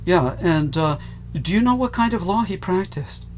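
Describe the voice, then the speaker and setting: A man's voice: high-pitched, expressive, normal speed, slight background noise. Guy hosting a podcast call, super formal and apologetic, lots of restarts. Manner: formal, effusive, many restarts. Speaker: host.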